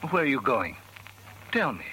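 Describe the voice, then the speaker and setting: An actor playing an earnest, clinging, lovesick middle-aged husband in an old-time radio drama, insistent and pleading. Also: male voice, medium pitch, sing-song, moderate pace, bright, forceful tone, slightly rough, heavily acted. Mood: anxious, pleading. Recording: noisy vintage radio recording.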